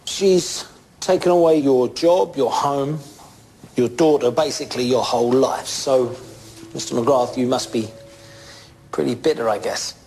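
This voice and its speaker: A British man playing a steady police detective, asking measured, probing questions in a naturalistic drama style. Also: mid-range voice, creaky, slight background noise, rough recording. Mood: pressing.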